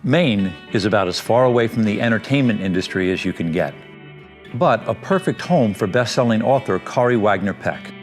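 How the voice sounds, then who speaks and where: Man, middle-aged, low voice, documentary narration, personal and reflective. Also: lively intonation, normal speed, faint music behind. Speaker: presenter and advocate, parent.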